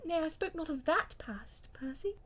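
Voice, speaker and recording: A woman's voice: sits high, expressive, moderate pace, a bit bright, pitched above the performer's own voice. A woman voicing a proud young noblewoman who pleads with her husband in a vehement, theatrical, old-fashioned way, as in an audiobook. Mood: tender pleading.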